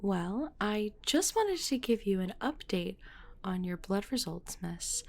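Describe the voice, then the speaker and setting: A woman's voice: medium pitch, sing-song, slow, heavily acted. A woman playing a friendly, professional midwife in an audio roleplay, chatty and politely explaining things. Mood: informative, reassuring.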